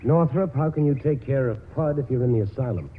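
An actor playing a stern small-town doctor with a firm, pressing, measured manner in a 1940s radio drama. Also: male voice, medium pitch, natural voice. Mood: pointed. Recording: muffled, narrow-band 1940s radio broadcast.

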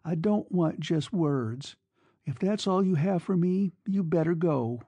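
Casting: male narrator as desperate, lovesick young woman, raised pitch, pleading, emotionally exposed, literary audiobook drama. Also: expressive, unhurried, no room sound, highs cut off. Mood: cold, hurt. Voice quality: soft, breathy delivery. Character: young woman in love, clinging, anguished and desperate.

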